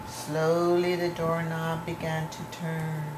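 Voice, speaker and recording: A woman reading a children's story aloud with deliberate, dramatic phrasing. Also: sits low, monotone. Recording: echoey room with clear background noise.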